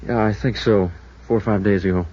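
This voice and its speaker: An American actor playing a laconic, skeptical, folksy deputy sheriff in his thirties in an old radio drama, with a husky voice. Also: lowish voice, steady tone, a little fast, mellow, slight background noise, natural voice. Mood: guarded, skeptical. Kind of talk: mid-century American radio drama acting. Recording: muffled vintage radio recording.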